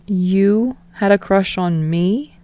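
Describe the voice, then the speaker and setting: A woman's voice: medium pitch, slow pace, dark tone, dry recording, close to an everyday voice. A woman voicing an easygoing, earnest young man who talks in a casual, rambling way in a story reading. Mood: wondering.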